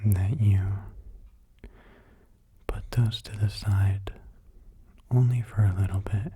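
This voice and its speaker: Male ASMR meditation guide: low, dark, hushed and breathy, soothing, halting phrases. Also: steady tone. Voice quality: breathy, hushed, mostly whispered. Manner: slow, soothing delivery with short halting phrases.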